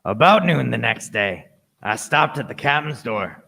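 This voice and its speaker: A man's voice: mid-range voice, big pitch swings, unhurried, vocal fry, sharp tone, full character voice. A man playing an intent narrator who recounts his boyhood adventure in dramatic scripted radio play narration. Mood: grave. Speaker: story narrator.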